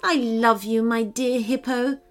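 Woman voicing a dramatic, high-pitched storybook swan. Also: fairly even intonation, measured pace, faint music behind, close to an everyday voice. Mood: tender. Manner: dramatic, emotive delivery. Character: vain beautiful female swan, haughty yet later tender.

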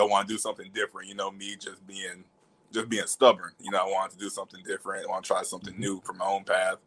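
A man's voice: on the low side, a bit bright, low-quality recording. A young man from Alabama chatting casually and informally as an interview guest. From Alabama, United States. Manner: informal, peppers talk with "you know". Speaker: interview guest, media professional. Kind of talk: casual chat.

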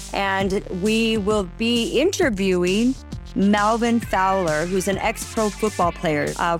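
A woman hosting a podcast in casual chat, bubbly and quick to laugh. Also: medium pitch. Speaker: podcast host.

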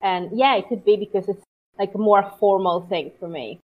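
A woman's voice: medium pitch, normal speed. A woman from Italy whose first language is Italian, speaking English hesitantly with frequent restarts in a casual chat. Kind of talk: casual conversation. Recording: slightly muffled audio.

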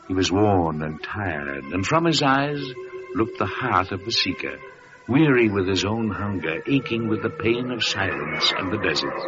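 A man narrating a story solemnly in the style of old-time radio drama. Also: fairly low pitch. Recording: muffled vintage radio recording with music.

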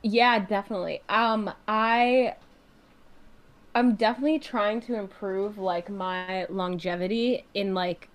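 A woman's voice: steady tone, a little slow. Chatty young woman singer. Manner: chatty, fillers, acts out her own reactions with rising pitch. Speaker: singer-songwriter guest. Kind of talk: casual interview chat.

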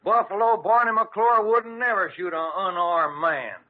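High-voiced old Western cowboy. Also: male voice, fairly even intonation, a little slow. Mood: folksy, sheepish. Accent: American Western drawl. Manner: folksy, drawling, theatrical. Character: blustering elderly cowboy, folksy, trigger-happy joker. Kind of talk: 1940s radio acting. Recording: dull, noisy old radio recording.

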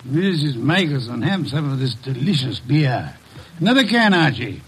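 An actor in an old-time radio drama playing a shrewd, courtly, self-satisfied private detective with pompous, formal phrasing and a gravelly, husky voice. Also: male voice, medium pitch, big pitch swings, normal speed, low-quality recording. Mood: courtly probing.

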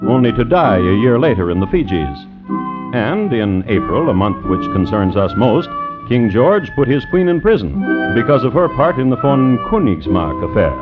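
A man narrating as a wry crime storyteller, dry and deliberate, in 1950s radio style. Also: medium pitch, big pitch swings, natural voice. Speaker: host and narrator. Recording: muffled vintage radio recording. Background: dramatic music.

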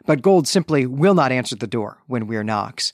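A man's voice: mid-range voice, expressive, normal speed, dry recording, clean studio sound. A man hosting a podcast, giving a conversational, bookish literary recap with wry asides. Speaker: podcast host.

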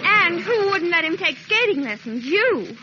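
A woman's voice: extremely high pitch, very animated, measured pace, pushed and bright, full character voice. A woman playing a pert, reproachful young daughter with an accusing manner, acted in 1940s radio sitcom style. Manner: accusing, indignant. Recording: noisy muffled vintage radio recording.

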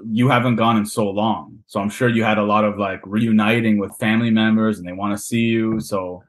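A man's voice: on the low side, a little fast. A man with a Canadian accent, a podcast guest chatting casually and acting out the people in his stories. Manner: relaxed storytelling, acts out quoted voices.

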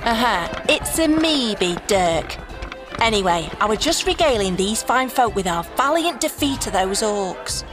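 A woman's voice: on the high side, lively intonation, a little slow, over music. A woman playing a cocky, boastful elf adventurer in a fantasy audio drama, with a brash, showy manner.

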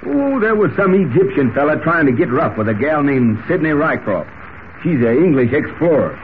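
A folksy, stubborn cowboy drawls in an American Western accent, his raised voice leaping up and down excitably. It is broad radio serial acting on a thin vintage recording with faint hiss. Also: fairly high pitch, normal speed, phone-quality sound. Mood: folksy storytelling.

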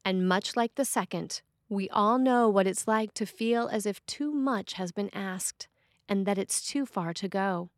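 A woman priest reading a written sermon for a podcast in an expressive way. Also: mid-range voice, a little slow, no room sound, studio quality.

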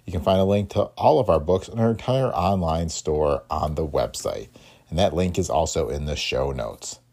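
Deep, gravelly, bright-voiced male podcast host. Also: animated, moderate pace. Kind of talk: scripted podcast introduction.

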